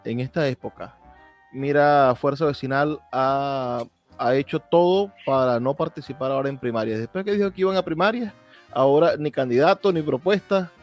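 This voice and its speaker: Man, Venezuelan accent, interviewer, fairly high pitch, conversational, direct and opinionated, faint background music. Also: normal speed.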